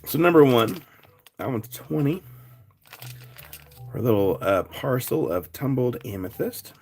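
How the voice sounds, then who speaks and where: Raspy man running a live rock sale. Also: medium pitch, very animated, unhurried, a little mellow, soft background music. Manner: chatty, thanks buyers by name. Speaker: live stream rock seller. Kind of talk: casual live sale chat.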